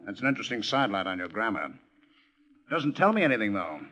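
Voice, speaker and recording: A brisk American police lieutenant in an old radio crime drama. His voice is fairly low but forceful and bright, with animated pitch and a clipped, sardonic delivery. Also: exaggerated voice. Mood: dry, sardonic. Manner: clipped, sardonic, matter-of-fact. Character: brisk, dry-witted male police lieutenant. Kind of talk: 1950s radio detective drama acting.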